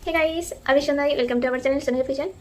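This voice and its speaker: A woman's voice: high-pitched, fairly even intonation, a little fast. A woman chatting casually as a prank call host, teasing and insistent. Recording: clearly noisy background.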